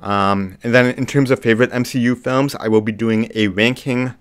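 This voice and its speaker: A man hosting a live movie chat, slightly hoarse with a cough, talking casually with lots of ums. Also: medium pitch, normal speed. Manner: relaxed, frequent ums and restarts. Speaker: livestream host. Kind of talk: casual live chat reading viewer comments.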